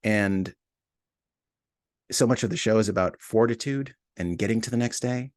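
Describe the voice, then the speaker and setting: Male interview guest, deep register, dry sound. Reflective, hesitant, modest, conversational. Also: moderate pace, dry recording. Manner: hesitant, searching for words, self-deprecating. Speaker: podcast writer and co-producer, interview guest. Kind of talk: casual, reflective interview talk.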